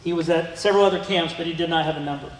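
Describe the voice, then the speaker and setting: A man's voice: fairly high pitch, steady tone, rapid-fire, slight background noise. A middle-aged man giving a rambling museum talk about his family, full of ums and restarts. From United States. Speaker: guest speaker. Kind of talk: museum lecture with slides. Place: museum lecture room. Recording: echoey hall with long reverb.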